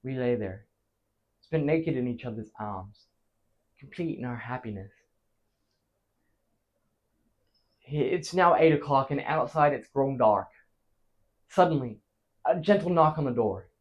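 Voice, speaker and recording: A male actor playing a flustered married man in a theatrical comic monologue, stammering and pausing dramatically as he recounts a disastrous night. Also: on the high side, lively intonation. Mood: fond, wistful. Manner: stammering, dramatic pauses, big emotional swings.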